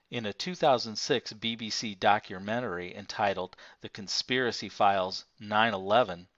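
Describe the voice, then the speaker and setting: A man with a fairly low voice narrates a nonfiction book. His delivery is formal and measured, clearly scripted. The recording is very dry and somewhat dull, with a lo-fi edge. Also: a bit bright, no echo. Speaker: audiobook reader.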